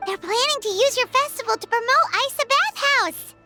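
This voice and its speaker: A woman voicing a small, childlike floating companion in a video game, chatty and excitable, with chirpy, expressive delivery. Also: very high voice, moderate pace. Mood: curious, urgent. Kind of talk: English video game voice acting. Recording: loud music behind the voice. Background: game music.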